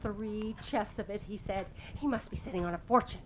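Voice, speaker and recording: A greedy young wife played by a woman who schemes and orders people about. She speaks at a medium pitch in a dramatic, impatient way, with noise in the background. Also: normal speed, close to an everyday voice. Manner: impatient, wheedling, commanding. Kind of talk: audio drama acting.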